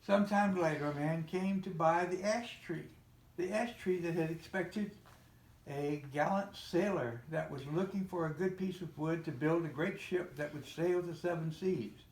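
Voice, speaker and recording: An older man reading a children's storybook aloud, a little haltingly, with small slips and self-corrections. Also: on the high side, darkish tone. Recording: roomy, echoey home recording with faint noise.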